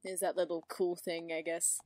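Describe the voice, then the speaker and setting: A woman's voice: fairly low pitch, little pitch movement, moderate pace, darkish tone, no room sound. A woman giving casual, gushing, giggly reaction commentary.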